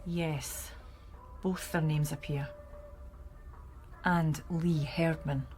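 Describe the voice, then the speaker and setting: An actress playing a no-nonsense police detective in a radio drama, with a Scottish accent and a matter-of-fact manner. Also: female voice, low voice, fairly even intonation, very slow, a little mellow, faint music behind. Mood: serious.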